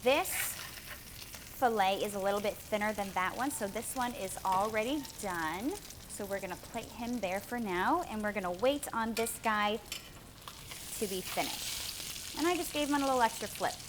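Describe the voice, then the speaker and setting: A woman's voice: medium pitch, moderate pace, noisy background. A woman talking casually to viewers as a vlog host, walking through food and fish with playful, informal exclamations. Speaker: fishing and cooking vlog host. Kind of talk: casual vlog, cooking walkthrough.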